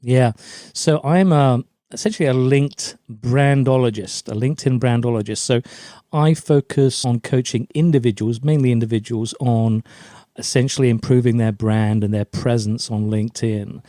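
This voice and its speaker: A man's voice: mid-range voice, steady tone, normal speed, warm tone, dry recording, clean studio sound. A middle-aged man from Germany, raised in a British forces family, giving chatty, anecdotal answers as an interview guest. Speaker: podcast guest, LinkedIn brand coach.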